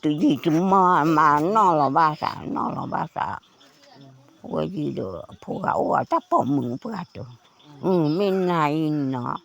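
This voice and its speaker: A woman with a gravelly, somewhat low voice tells a folk tale. She speaks very slowly, and her pitch swings widely as she tells it. Crickets chirp faintly behind her. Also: animated. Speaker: storyteller.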